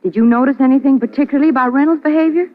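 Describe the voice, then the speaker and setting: A woman's voice: high voice, rapid-fire, lightly acted. An actress playing an inquisitive, determined society lady in polished 1940s radio drama style. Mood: eager, insistent. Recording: muffled, tinny old radio recording.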